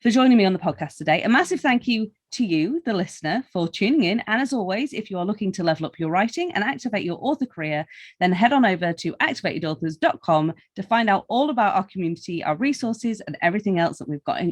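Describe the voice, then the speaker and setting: A woman's voice: medium pitch, expressive, fast talker. A woman talking casually and informally as an interviewer on a podcast.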